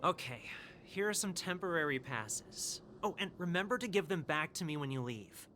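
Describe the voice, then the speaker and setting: An actor playing a hesitant, easily cowed city guard in a video game, flustered and deferential with a stammering delivery. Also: male voice, a little high, lively intonation, normal speed, pushed and bright, soft background music. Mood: flustered, yielding.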